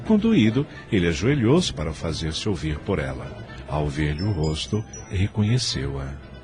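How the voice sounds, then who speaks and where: A man narrating a scripted radio story in a measured, expressive, dramatic manner. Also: a bass voice, over music.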